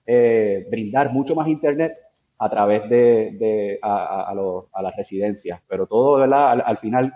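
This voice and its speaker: A man's voice: on the low side, normal speed, narrow, tinny audio. A man with a Puerto Rican accent explaining technical things informally as an interview guest, hesitating and mixing in English tech terms. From Puerto Rico. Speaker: interview guest, engineer in networks and security.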